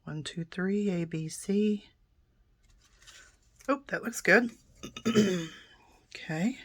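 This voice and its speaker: A middle-aged woman, hoarse and raspy after a coughing fit, calmly explaining a card-making project step by step and often clearing her throat. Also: extremely slow. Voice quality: deep and raspy. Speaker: card-making tutorial host. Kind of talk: casual crafting tutorial narration.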